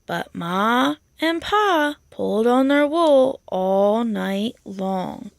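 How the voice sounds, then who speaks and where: A woman reading a children's picture book aloud in an expressive, storytelling manner. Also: on the high side, extremely slow, vocal fry, no room sound. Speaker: storybook reader.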